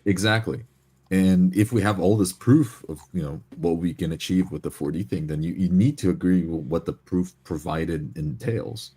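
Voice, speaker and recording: A man with a very deep, husky voice talks casually. He is analytical but hesitant, pausing to find the right words as he lays out his reasoning. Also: mellow.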